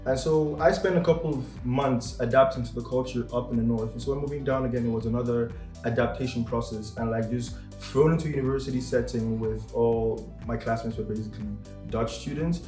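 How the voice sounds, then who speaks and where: A man's voice: medium pitch, steady tone, fairly quick, darkish tone. Young man, from Cameroon, casual vlog host, playful, echoey room, faint music. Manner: joking, playful. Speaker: channel host.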